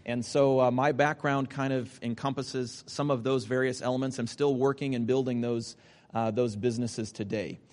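A man in his forties introducing himself at a seminar, relaxed and hesitant, with many fillers. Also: mid-range voice, moderate pace. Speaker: seminar presenter. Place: seminar room. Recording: long hall reverb.

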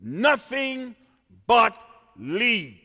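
A man from Trinidad preaching a sermon in a church, swinging from quiet asides to shouted emphasis. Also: extremely high pitch, sing-song, extremely slow. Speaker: pastor. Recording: reverberant church hall.

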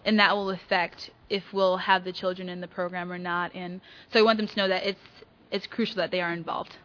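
A woman's voice: on the low side, level tone, brisk, muffled recording. A woman who leads a church youth mentoring program, explaining it earnestly on a panel. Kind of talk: panel discussion remarks.

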